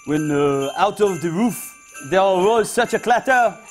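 A man putting on a French accent as a dramatic Frenchman telling a Christmas story, theatrical and halting. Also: sits high, expressive, pitched below the performer's own voice. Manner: theatrical, halting as if searching for words. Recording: studio audience and music behind.

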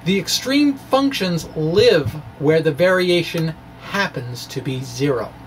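A man's voice: fairly high pitch, takes it slowly, poor audio. A man in his forties presenting a physics lecture in a playful, wry way, moving between scripted reading and informal explaining. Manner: playful, wry dramatic delivery. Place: small indoor room with bookshelves. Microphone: microphone on a stand close in front. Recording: faint steady background noise.

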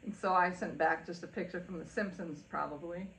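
Mulher de trinta e tantos, voz grave. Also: female voice, fairly low pitch, a little fast, a bit bright, slight background noise, rough recording. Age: 30s. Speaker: podcast co-host. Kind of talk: casual chat. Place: living room full of shelves.

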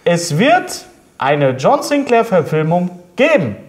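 A middle-aged man hosting a casual interview and reading out questions, often halting and repeating words. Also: high-pitched, big pitch swings. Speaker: talk show host. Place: indoor studio set at a table.

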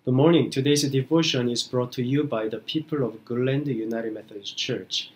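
A middle-aged man, a pastor giving an earnest, slow radio devotion sermon. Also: mid-range voice, fairly even intonation, darkish tone, breathy edge.

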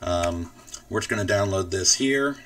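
A man hosting a casual software walkthrough, explaining things informally step by step. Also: sits low, animated, moderate pace, far from the mic. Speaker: tutorial host.

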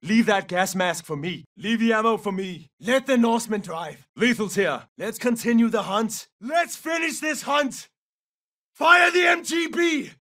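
An actor playing a fierce Norse mercenary soldier in a military shooter game, giving terse, commanding, urgent callouts. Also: male voice, high voice, a little slow, pushed and bright, far from the mic. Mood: low, steady. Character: gruff Norse mercenary soldier, fierce, battle-hungry hunter. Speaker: video game operator calling out battlefield information. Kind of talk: military shooter game voice lines, short tactical barks.

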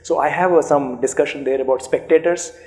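A man's voice: fairly high pitch, brisk, a little mellow. A middle-aged man with an Indian accent, a native Urdu speaker, speaking thoughtfully as an author in an academic conversation, with many fillers and restarts. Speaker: author being interviewed. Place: seminar room, indoors. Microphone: handheld microphone.

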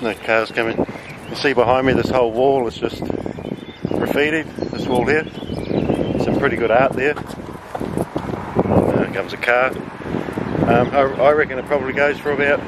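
A man in his fifties chatting casually and unhurriedly to a handheld camera as a travel vlogger. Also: mid-range voice. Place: outdoors on city streets and roadside. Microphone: handheld camera at arm's length. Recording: noisy outdoor audio with traffic and wind.

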